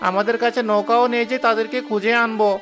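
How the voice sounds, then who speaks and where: Cartoon role: an eager old village uncle, voiced by a man, very high voice, deferential. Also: little pitch movement, fast, fairly bright tone, soft background music. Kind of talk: Bengali cartoon voice acting.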